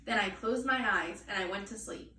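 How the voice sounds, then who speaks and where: A woman reading a children's storybook aloud in an expressive style. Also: medium pitch, normal speed. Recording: echoey room, distant mic, faint noise.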